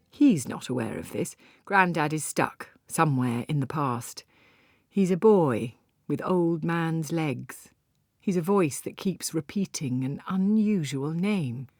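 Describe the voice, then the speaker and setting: A low-pitched woman narrates an audiobook in the first person. Her reading is scripted and literary, and the sound is dry, with hardly any room in it. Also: a little mellow, no echo.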